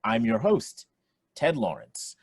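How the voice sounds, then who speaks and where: An actor playing a jovial quiz show host in a radio play, with theatrical announcer delivery. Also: male voice, medium pitch, huge pitch swings, slow, husky, no echo, studio quality, exaggerated voice. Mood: showy, upbeat. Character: young man hosting a quiz show, jovial showman. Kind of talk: radio play acting, game show emcee patter.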